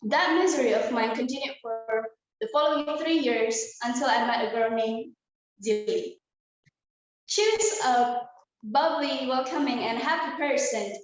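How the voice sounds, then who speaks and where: A woman's voice: high voice, heavy reverb, rough recording. A young woman from Indonesia giving an earnest, prepared inspirational speech as a student, talking straight to her audience over a video call. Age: young adult. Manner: earnest, addresses audience directly. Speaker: student speaker.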